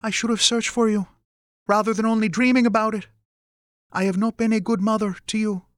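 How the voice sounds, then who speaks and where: A man's voice: sits high, fairly even intonation, fairly quick, a bit bright, no echo, studio quality, voice pushed up. A man voicing a middle-aged mother in an audiobook, anxious, regretful and tender, with careful, hesitant, formal phrasing. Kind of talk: audiobook character dialogue.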